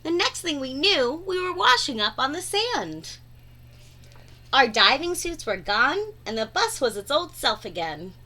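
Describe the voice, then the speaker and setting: A woman's voice: sits high, sing-song, unhurried, bright, forceful tone. A woman reading a children's storybook aloud expressively, drawing out words for dramatic emphasis. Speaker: read-aloud narrator.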